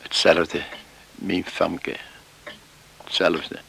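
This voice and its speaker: An embittered, stubborn farmer and father for a radio play. The voice is deep and gravelly yet sharp-edged. He speaks in terse, halting phrases with gruff pride. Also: little pitch movement, a little hiss or hum, exaggerated voice. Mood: weary, resigned. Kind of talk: Dutch radio play acting.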